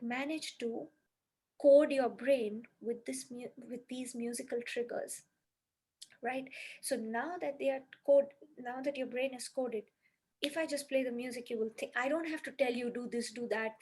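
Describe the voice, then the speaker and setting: A woman's voice: a little high, steady tone, normal speed, a little mellow, husky. A woman on a podcast earnestly explaining her music healing method step by step, with many "you know"s.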